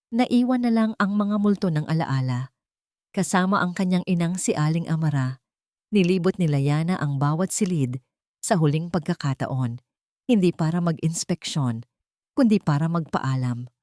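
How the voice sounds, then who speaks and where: A woman reads a scripted dramatic story in a measured, solemn way, phrase by short phrase. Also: deep voice, a little mellow, no echo, clean studio sound. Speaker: story narrator.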